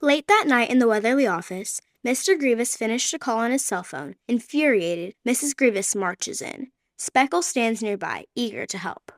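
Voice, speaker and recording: A woman narrates an adventure radio play. Her voice sits fairly high, and the sound is close and dry, as scripted story narration. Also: expressive, normal speed, hardly any pauses, dry recording.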